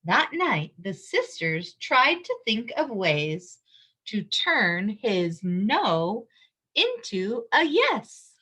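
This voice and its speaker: Animated, bright-voiced woman reading to children. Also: medium pitch, extremely slow, breathy edge, rough recording. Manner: expressive, simple child-directed explaining, emphatic, asks listeners questions. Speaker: read-aloud storyteller for young children. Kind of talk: picture-book read-aloud with teacherly asides and questions.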